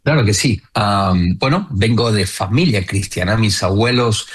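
An earnest man in his thirties with an Argentine accent, his voice sitting a little on the low side. He talks in an unhurried storytelling way as a guest on a radio interview. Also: slightly rough. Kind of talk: casual interview talk.

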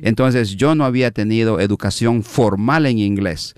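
A middle-aged man from Guatemala, a minister being interviewed, telling a story in an unhurried, reflective way with many fillers. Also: lowish voice, smooth, dry recording, soft background music.

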